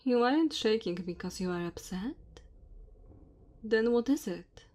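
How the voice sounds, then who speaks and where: Slow, gentle dragon woman, acted by a woman. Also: on the high side. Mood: apologetic, gentle. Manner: slow, tender, breathy murmuring. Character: dominant but caring, lonely female dragon. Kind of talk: ASMR roleplay, intimate and soft. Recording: steady ambient fire and cave noise. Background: crackling fire ambience.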